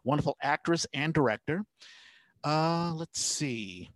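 A man hosting a casual podcast, talking in a conversational, halting way. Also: on the high side, lively intonation, a bit bright. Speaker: podcast host.

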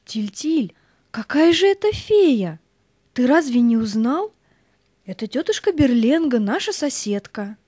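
A woman's voice: high-pitched, big pitch swings, unhurried, mellow, dry recording, studio quality, full character voice. A woman playing a fussy, practical, anxious mother in an audio fairy-tale play, with a scolding manner. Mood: puzzled, anxious.

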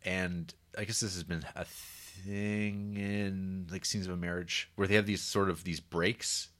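A man's voice: low-pitched, flat delivery, measured pace, a bit bright. A man co-hosting a podcast, chatting casually about a film, swearing and acting out quotes. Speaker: podcast co-host.

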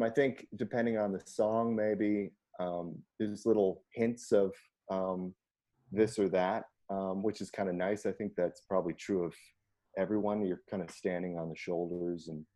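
A man with a very low, mellow baritone talks loosely about music. He rambles with frequent ums and breaks into easy laughs, relaxed and conversational. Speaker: singer. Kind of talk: casual conversation.

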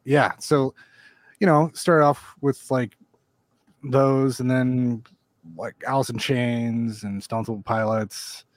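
A man's voice: medium pitch, fairly even intonation, slow pace, dry recording. A man in his forties talking casually as a podcast guest, laid-back and swearing freely. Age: 40s. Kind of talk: casual chat.

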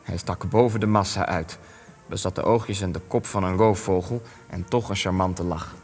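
An elderly war veteran in a radio drama, world-weary and evasive. The voice sits low, with formal, dryly ironic phrasing and some hesitation. It rings in a long hall with music underneath. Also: male voice, fairly even intonation, normal speed, darkish tone. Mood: bitter, reminiscing. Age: young adult. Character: old male resistance veteran, wry, evasive, world-weary. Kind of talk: Dutch-language radio play acting.

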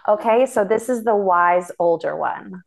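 A woman's voice: medium pitch, creaky. Playful, slightly nasal young woman. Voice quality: a little nasal. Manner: playful, self-mocking, quick asides. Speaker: podcast co-host, older sister. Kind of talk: casual chat.

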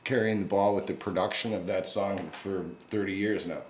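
A man's voice: low-pitched, steady tone, moderate pace. A man talking casually on a podcast, telling rambling stories with many fillers. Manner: rambling, chatty storyteller. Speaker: guest musician. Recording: echoey room with faint noise.

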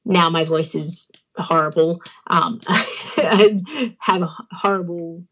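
A young woman with an Australian accent talks freely in a casual interview. Her voice sits a little low, with a phlegmy catch in the throat, and she laughs often between filler-heavy thoughts. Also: slow, fairly bright tone. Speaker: guest, pro wrestler.

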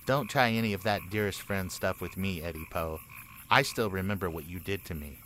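A man's voice: deep voice, a little fast, fairly bright tone, a little hiss or hum. An actor playing a nervous, aggrieved boy in a comic radio play, speaking with earnest exasperation. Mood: indignant.

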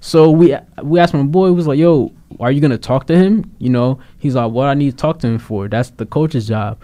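A man's voice: medium pitch, a little fast, warm tone. A young man hosting a casual talk show, rambling a little with fillers and restarts as he sets up topics. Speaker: podcast host.

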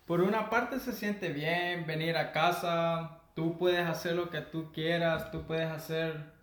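A man from El Salvador with a Salvadoran Spanish accent, co-hosting a casual podcast chat, earnest and reflective and quick to laugh. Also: on the high side, fairly even intonation, a bit bright, reverberant. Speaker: podcast co-host.

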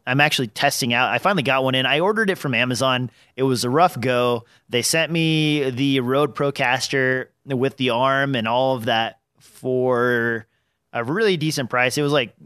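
A man's voice: mid-range voice, sharp tone, clear, resonant tone, dry recording, studio quality. Nasal-voiced man hosting a podcast. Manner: rambling, with many fillers and restarts. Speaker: podcast host. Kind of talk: casual podcast chat. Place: small home studio. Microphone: studio dynamic microphone on an arm.

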